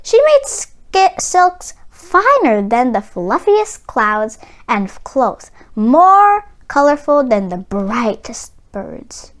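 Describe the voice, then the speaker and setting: A woman reading a children's storybook aloud in a careful, expressive storytelling delivery. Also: high voice, takes it slowly, few pauses. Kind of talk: expressive scripted storybook narration.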